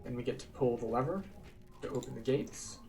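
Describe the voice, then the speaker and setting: Relaxed man explaining a game. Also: medium pitch, brisk, slight background noise. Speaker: game streamer. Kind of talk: casual game walkthrough commentary.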